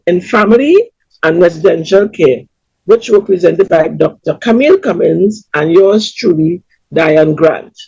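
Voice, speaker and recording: A woman with a Trinidadian accent hosting a community webinar over a video call, welcoming people in a lively announcer tone. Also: medium pitch, slightly rough, dry recording. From Trinidad and Tobago. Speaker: webinar host. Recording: dull, lo-fi webinar audio.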